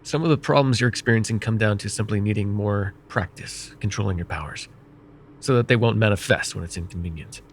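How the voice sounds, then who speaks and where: A man voicing a vain, wry, middle-aged male mage who counsels a student in an ASMR roleplay, speaking in a calm, measured and gently teasing way. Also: fairly low pitch, slight background noise, close to an everyday voice. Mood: calm reassuring. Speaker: voice actor.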